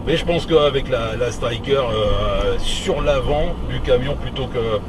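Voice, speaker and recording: A radio hobbyist, a man with a mid-pitched voice, talks shop in a casual, halting way and repeats his words. The sound is a distant radio transmission buried under very loud noise. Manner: hesitant, repeats himself. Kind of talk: casual technical radio chat.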